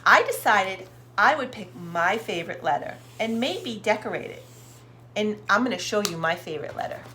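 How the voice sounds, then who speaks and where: A woman's voice: medium pitch, a little hiss or hum. A woman hosting children's storytime, reading expressively to young listeners and addressing them directly. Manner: slow, expressive, sounds out words and asks listeners questions. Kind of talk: picture book read-aloud for young children.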